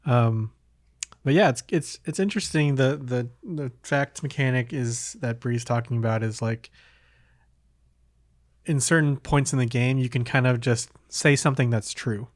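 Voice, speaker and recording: A man's voice: mid-range voice, normal speed, no room sound, clean studio sound. A man hosting a podcast in casual conversation, hesitant and meandering, often trailing off between thoughts. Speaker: podcast host. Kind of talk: casual chat.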